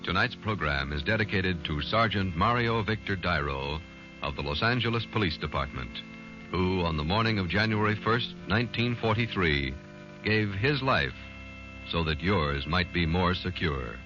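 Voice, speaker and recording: Deep, solemn American radio announcer man. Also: animated, normal speed. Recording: dull vintage radio recording, music behind.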